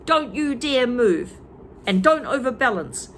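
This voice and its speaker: A woman doing a nasty shouting old man. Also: fairly high pitch, animated, unhurried, slight background noise, pitched above the performer's own voice. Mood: barking. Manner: barking, shouted threats.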